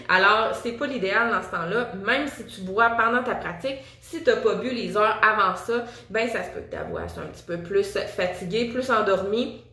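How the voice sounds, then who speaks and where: A woman with a Quebec French accent teaches singing in a casual, upbeat video lesson, chatting directly to her viewer. Her voice sits at an ordinary middle pitch. The room around her is noticeably echoey. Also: moderate pace, bright, forceful tone. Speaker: singing teacher and vocal coach.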